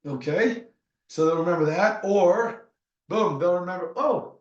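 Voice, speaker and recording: A man from Canada hosting a casual podcast, talking fast and teasing his guests. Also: high-pitched, reverberant, highs cut off. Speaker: podcast host. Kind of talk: casual chat.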